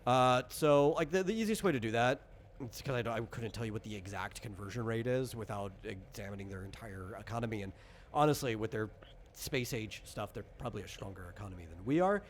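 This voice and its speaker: A man's voice: on the low side, a little fast. Man explaining game rules over background noise. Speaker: game master and developer explaining his tabletop RPG. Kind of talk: casual explanatory chat during character creation.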